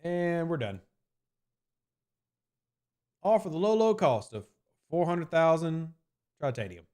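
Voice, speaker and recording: A man's voice: on the high side, animated, slow, darkish tone. A man streaming live and answering chat questions in a casual, rambling way, often hedging with "I mean" and repeating his points.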